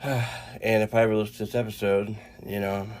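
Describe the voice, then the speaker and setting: A man co-hosting a faith podcast, congested and a little raspy from a cold, talking in a halting way with many fillers and restarts. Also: fairly low pitch, level tone, a little mellow. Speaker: podcast co-host. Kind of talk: casual faith chat.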